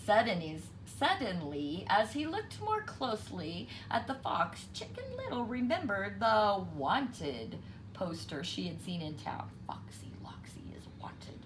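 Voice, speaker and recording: A woman reading a children's picture book aloud with expressive storytelling narration. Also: medium pitch, unhurried, background noise.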